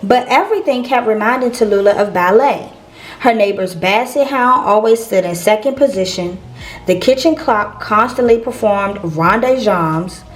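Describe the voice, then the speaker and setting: A woman's voice: medium pitch, moderate pace, a little hiss or hum, rough recording. A woman reading a children's picture book aloud as a storyteller.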